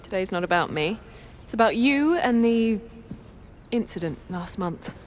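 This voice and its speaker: Lively, brisk female agent played by a woman. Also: medium pitch, a little hiss or hum, lightly acted. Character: brisk, assured female agent escorting a recruit. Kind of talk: cinematic game acting. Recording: faint room ambience.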